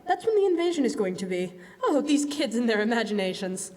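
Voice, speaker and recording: A woman's voice: on the high side, very animated, brisk, darkish tone. A woman playing a chatty, breezy housewife friend in a classic-style radio drama performed on stage. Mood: gossipy, amused. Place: on stage in a school hall. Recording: echoey hall, live stage performance.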